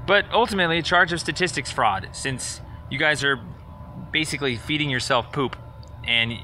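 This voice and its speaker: A man's voice: fairly high pitch, moderate pace, bright, forceful tone, slight background noise. A man leaving a rambling, accusatory phone message in a sarcastic, confrontational, indignant manner. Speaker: caller leaving a voicemail complaint.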